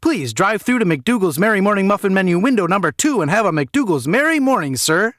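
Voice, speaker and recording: A man voicing a relentlessly chirpy fast-food clerk who recites corporate patter in an over-enunciated singsong for an audio story. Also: high-pitched, very animated, a bit bright, dry recording, studio quality, voice pushed up. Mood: forced cheer.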